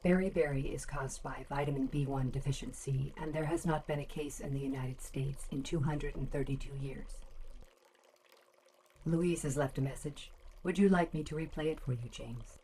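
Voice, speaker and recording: A woman in an audio drama playing a serene, literal-minded household computer that speaks formally and precisely, in a deadpan way. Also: extremely low pitch, normal speed, a little hiss or hum, poor audio. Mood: calm, dutiful.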